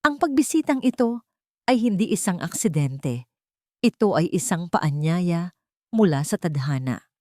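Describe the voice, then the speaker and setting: A woman reading a scripted first-person dramatic story in a measured, solemn manner. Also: on the low side, sing-song, dark tone, dry recording, clean studio sound. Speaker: narrator of an audio story.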